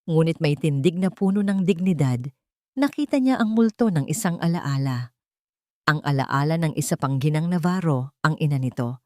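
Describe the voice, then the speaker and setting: A woman narrating a scripted romance drama in a measured, dramatic way, in short phrases. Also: low voice, lively intonation, a little mellow, no room sound, studio quality.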